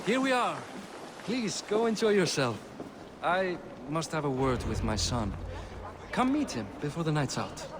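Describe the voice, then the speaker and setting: A proud, pragmatic Viking king for a video game. He is middle-aged, with a voice a little high for a man. Clear outdoor noise sits behind his words. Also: very animated, a little slow. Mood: welcoming. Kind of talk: game voice acting.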